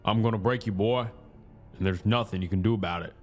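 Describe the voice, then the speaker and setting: A deep-voiced Southern US cult leader from a horror audio story, acted out by a male narrator. He is a quietly malicious tormentor who keeps his tone level and almost bored as he threatens. Music plays underneath his low voice. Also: quick pace, lightly acted. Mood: quiet menace.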